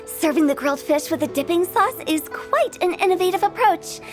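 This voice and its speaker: A mischievous young funeral parlor director, teasing and theatrical. Her voice is very high and sing-song, with faint game music underneath. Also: animated, fairly quick. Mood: playful. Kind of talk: game cutscene voice acting.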